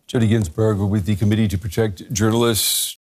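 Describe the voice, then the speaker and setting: A man's voice: on the low side, steady tone, fairly quick, darkish tone. A man working as a news interviewer in a broadcast interview style.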